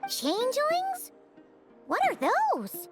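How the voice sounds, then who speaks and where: Tiny childlike game fairy: squeaky, curious, unhurried, wildly animated. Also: female voice, loud music behind the voice, heavily acted. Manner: speaks of self in third person, wide-eyed. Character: tiny childlike female floating fairy companion, curious, excitable. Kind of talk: anime game voice acting.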